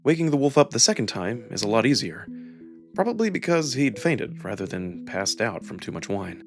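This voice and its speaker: A man's voice: on the low side, sing-song, moderate pace. A man narrating a first-person story dramatically, as a voice performer reading a visual novel. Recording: music behind the voice.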